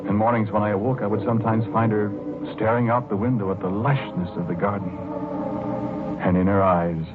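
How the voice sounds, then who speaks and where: An actor playing a dreamy, timid husband obsessed with his garden, musing haltingly in the style of 1940s radio drama. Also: fairly low pitch, steady tone, natural voice. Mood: brooding. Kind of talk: 1940s radio drama acting, first-person narration and dialogue. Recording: muffled old radio recording, music behind.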